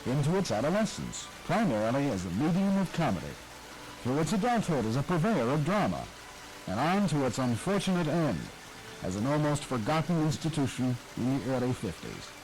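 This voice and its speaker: A man's voice: medium pitch, big pitch swings, brisk, darkish tone, a little hiss or hum, poor audio. A man hosting a program on radio history, giving a scripted, nostalgic, storytelling narration.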